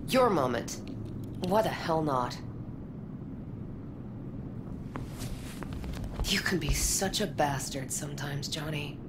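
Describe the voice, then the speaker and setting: An actress playing a rocker's teasing, world-weary girlfriend in a video game, with a languid, sometimes breathy delivery. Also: low-pitched, animated, measured pace, background noise. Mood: wry disappointment. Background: loud guitar music.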